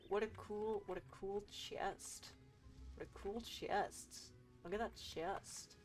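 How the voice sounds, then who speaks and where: A woman's voice: mid-range voice, steady tone, takes it slowly, a little mellow. A woman giving casual live game commentary as a streamer, goofy and fond of repeating her phrases. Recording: game music behind.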